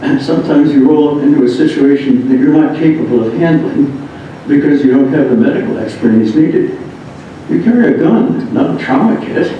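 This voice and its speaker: A man reads a written first-person memoir aloud, halting at times. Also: expressive. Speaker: reader of a memoir. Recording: muffled, very echoey room, distant mic, rumbling noise.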